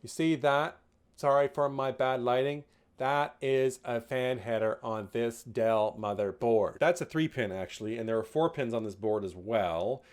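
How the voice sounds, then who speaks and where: A man of middle pitch hosts a casual tech how-to, cracking jokes and dropping the odd swear word. He jumps up in pitch and volume when he announces each new tip. It sounds like an indoor room, picked up by a camera mic while he shows parts to the lens. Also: a little slow, clear, resonant tone. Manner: jokey, swears casually, lively bursts on tip headings. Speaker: tech video host. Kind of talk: casual instructional talk.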